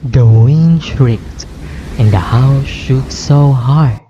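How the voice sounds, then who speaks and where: A woman narrating a scripted children's story in a measured, deliberate way. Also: extremely low pitch, highs cut off, background noise.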